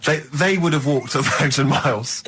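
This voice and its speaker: A man's voice: fairly high pitch, normal speed, noisy background. An English man co-hosting a radio show with casual, teasing comic banter and mock incredulity.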